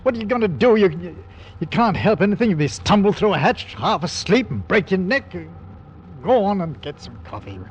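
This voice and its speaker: A weary but decisive male ship's captain, with a slightly high-set voice and fatherly manner. It is 1940s radio drama, dull in tone with faint noise. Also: big pitch swings, moderate pace. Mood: fatherly reassurance. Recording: muffled, faintly noisy 1940s radio recording.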